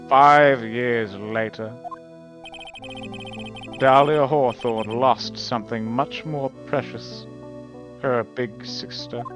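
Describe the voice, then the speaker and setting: A man playing a smug, composed young prosecutor, pompous and measured, in a live dub of a courtroom game. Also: mid-range voice, lightly acted. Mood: condescending.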